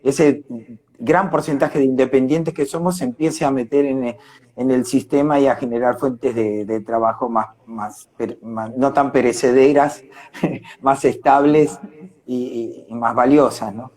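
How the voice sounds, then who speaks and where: A man's voice: mid-range voice, fairly even intonation, measured pace, a little mellow. An Argentine man speaking earnestly and discursively in a reflective live online conversation. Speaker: independent arts worker.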